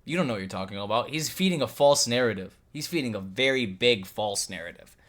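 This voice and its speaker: A man's voice: mid-range voice, expressive, a little fast. A man from Lebanon giving a heated reaction commentary, arguing emphatically and hammering the same points again and again. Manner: argumentative, emphatic, repeats points, rising to near-shouts.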